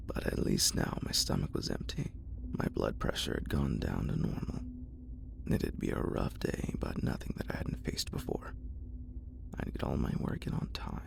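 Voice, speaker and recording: Whispering man with a gravelly, creaky edge. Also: extremely low pitch. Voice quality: breathy, unvoiced whisper. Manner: deliberate, literary first-person storytelling. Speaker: horror story narrator. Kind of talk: whispered ASMR horror story reading.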